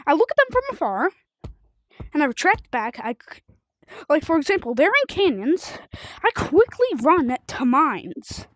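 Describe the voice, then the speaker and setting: A woman chatting casually and rambling as a livestreamer playing a video game, telling stories in an informal, excitable way. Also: high voice, unhurried, long pauses, dry recording. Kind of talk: rambling casual livestream chat while gaming.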